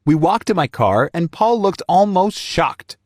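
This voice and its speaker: A man narrating a scripted first-person story with animated, emphatic delivery. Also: high voice, a little slow, no room sound, studio quality.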